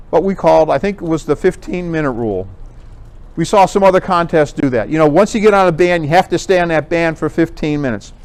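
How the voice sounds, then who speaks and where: Clear-voiced American man presenting. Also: a little high, darkish tone. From Connecticut, United States. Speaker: amateur radio contest organizer, presenter. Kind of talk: informal club presentation with slides.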